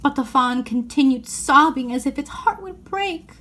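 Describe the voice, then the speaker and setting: Woman reading a children's story, higher voice. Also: lively intonation, normal speed, fairly bright tone. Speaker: storybook reader. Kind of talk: expressive scripted narration of a children's novel.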